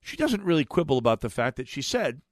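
A man's voice: mid-range voice, sing-song, fast, dry recording, clean studio sound. An American man, middle-aged, hosting a talk radio show in a chatty, digressive monologue with frequent restarts. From United States. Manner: chatty, digressive, repeats words when restarting.

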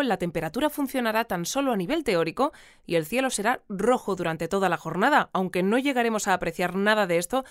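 A woman playing a composed news anchor in a sci-fi audio drama, reading a scripted bulletin in a formal, measured way. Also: medium pitch, no echo, studio quality, lightly acted. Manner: formal, measured announcer delivery. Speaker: newscast presenter. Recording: music bed under the voice. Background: music.

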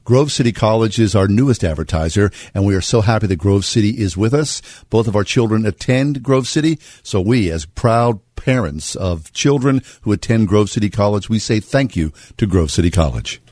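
A man's voice: on the low side, expressive, moderate pace, few pauses, a little mellow, no room sound. A man who co-hosts a radio show, thanking a sponsor in a sincere, grateful way.

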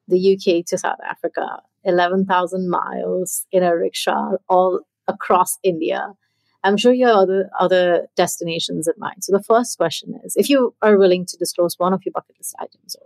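A woman's voice: lowish voice, vocal fry, darkish tone, dry recording, low-quality recording. Chatty woman podcast host, dry sound. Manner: chatty, thinks aloud with fillers. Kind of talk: conversational interview. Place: in India.

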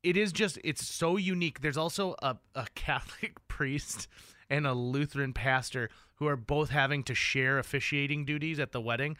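A man with a bright, punchy voice works as a radio host in a dry studio. He talks in an upbeat, enthusiastic way and leans on key words with emphasis. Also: a little high, moderate pace, no room sound. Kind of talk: upbeat radio interview chat. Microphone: close studio microphone.

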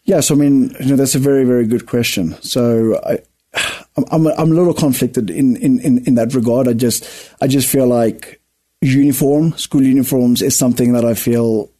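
A man's voice: mid-range voice, normal speed, mellow. Earnest south african man, afrikaans native. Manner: earnest, repeats words as he searches for them. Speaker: guest, rugby player. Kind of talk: casual interview talk.

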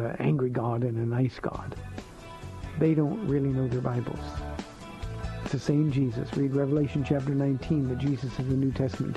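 A soft-spoken man hosting a live call-in radio show as a pastor, answering listener questions in a gentle, earnest and reflective way with slow emphatic phrases, heard over a dull lo-fi broadcast. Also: mid-range voice. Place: radio studio.

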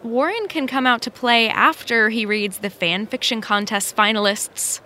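An actress playing a brisk, teasing, bossy female production assistant, punchy and no-nonsense, in a naturalistic audio drama. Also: fairly high pitch, sharp tone, a little hiss or hum.

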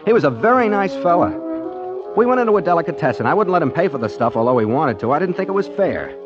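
Naive polite 1940s radio detective, highish lively voice, muffled old recording. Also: male voice, fast talker, music in the background. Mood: wry narration. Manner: mild, polite, scatterbrained, hesitant. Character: affable, naive amateur private detective, male army veteran. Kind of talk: 1940s radio detective comedy acting with narration.

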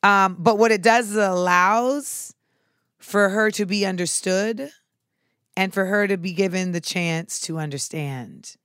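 A woman talking casually to her viewers in a sharp, clipped way, with casual swearing. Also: mid-range voice, unhurried, clear, resonant tone, no room sound, studio quality. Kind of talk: casual monologue to camera.

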